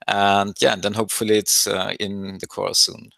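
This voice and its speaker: Low-voiced man answering thoughtfully. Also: flat delivery, normal speed, creaky, a bit bright, dry recording. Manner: thoughtful, hesitant, many fillers. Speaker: open source maintainer. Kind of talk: interview answers. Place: home room with a piano.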